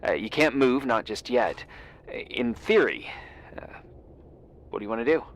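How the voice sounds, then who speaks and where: A man's voice: mid-range voice, expressive, measured pace, slight background noise. An actor playing a good-natured, eager American man in a video game, hesitant and stammering as he helps out. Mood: helpful, reassuring. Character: helpful, awkward, eager man on the other end of phone.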